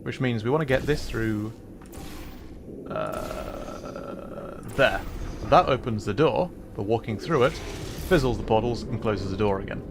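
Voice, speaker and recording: A man's voice: medium pitch, expressive, unhurried, vocal fry, background noise. A man livestreaming a video game, giving casual commentary and rambling explanations with fillers and little laughs.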